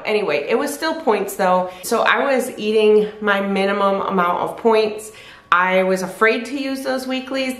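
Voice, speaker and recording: A woman's voice: mid-range voice, fairly bright tone. A woman in her fifties chatting to camera in her living room, candid and rambling, often restarting her sentences. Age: 50s. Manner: rambling, candid, self-correcting. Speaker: weight loss vlogger. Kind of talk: casual chat to camera. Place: living room by a fireplace, indoors. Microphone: camera at desk distance. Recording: echoey room.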